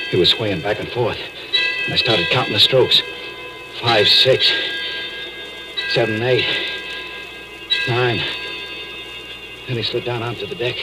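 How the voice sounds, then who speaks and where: An actor playing a haunted, nervous deep-sea diver who narrates his ordeal haltingly in 1940s radio drama style. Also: lightly acted. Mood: grim recollection. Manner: halting, hesitant, brooding. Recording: old 1940s radio recording with music.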